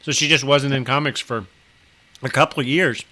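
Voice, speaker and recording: A man co-hosting a comics podcast, chatting casually with quick upbeat asides. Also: mid-range voice, fairly even intonation, sharp tone. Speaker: podcast co-host. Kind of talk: casual chat.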